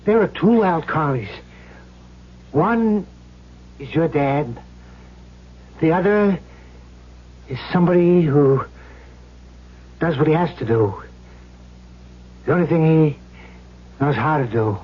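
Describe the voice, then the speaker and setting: Desperate, high-pitched older crime boss. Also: male voice, animated, takes it slowly, pauses often. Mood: defensive pleading. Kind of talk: 1970s radio drama acting. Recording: muffled, hissy old radio broadcast.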